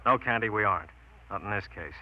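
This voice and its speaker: A man's voice: fairly low pitch, quick pace, natural voice. An American man acting a blunt, dryly bantering police detective in a vintage radio drama. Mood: stern. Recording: dull vintage radio recording.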